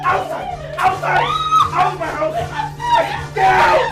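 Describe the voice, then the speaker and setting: An actor playing an angry husband and father who shouts accusations at his wife. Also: fairly high pitch, sing-song, normal speed, over music, a crowd in the background. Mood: furious. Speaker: actor in a domestic drama.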